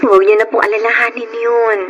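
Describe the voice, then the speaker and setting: An actress playing a weary, long-suffering hostess in a Filipino radio drama, plaintive and emotional, with a Filipino accent. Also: female voice, fairly high pitch, little pitch movement, a little fast, a bit bright, a little hiss or hum, close to an everyday voice. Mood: tender, earnest. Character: weary, poor young hostess, long-suffering yet defiant.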